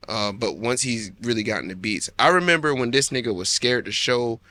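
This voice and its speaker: Young man, bright tone, relaxed slangy podcast guest who laughs easily. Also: mid-range voice, fairly quick, no room sound. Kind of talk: casual chat.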